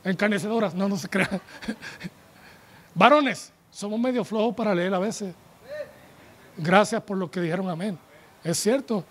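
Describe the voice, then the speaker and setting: A man in his forties preaches from the pulpit of a church tent, his voice sitting very high for a man. He holds a handheld microphone and speaks in an animated, joking way, turning to the congregation for responses. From United States. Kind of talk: sermon.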